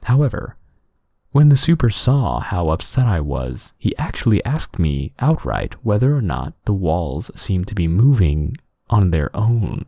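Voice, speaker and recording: Male narrator for bedtime horror tales: lowish, mellow voice, expressive pitch movement, close dry sound. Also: measured pace, gravelly, dry recording. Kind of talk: scripted horror story narration, relaxing ASMR-like bedtime reading.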